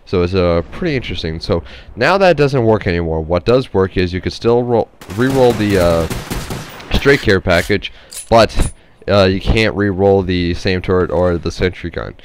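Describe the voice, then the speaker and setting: A man's voice: sits low, lively intonation, normal speed, noisy background. A man giving casual, rambling, unscripted game commentary, hesitant and nasal from a stuffy head cold. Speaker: gameplay commentator.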